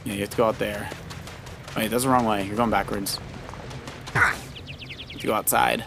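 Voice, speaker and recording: A man's voice: lowish voice, steady tone, moderate pace, vocal fry. A middle-aged man giving casual, encouraging gameplay commentary like a coach at the player's side. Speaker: dad co-hosting a Let's Play. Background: loud video game music.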